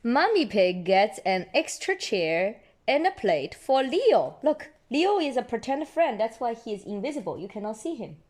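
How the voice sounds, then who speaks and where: A woman's voice: fairly high pitch, big pitch swings, moderate pace, hardly any pauses, darkish tone. A woman reading picture books aloud for young children and explaining things simply. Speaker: storybook reader.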